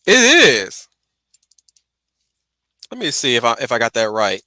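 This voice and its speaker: A man's voice: mid-range voice, expressive, unhurried, pushed and bright, clean tone, no room sound. A man chatting casually on a livestream as he reacts to a video, often repeating words as he finds his way. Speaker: stream host.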